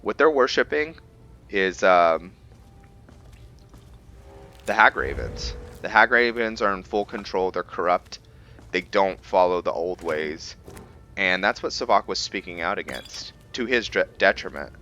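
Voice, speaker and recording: A man giving casual commentary on a roleplay video game, halting as he thinks aloud and explains the lore. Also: low voice, animated, pushed and bright, muffled recording, faint music behind. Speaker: gamer narrating a roleplay playthrough.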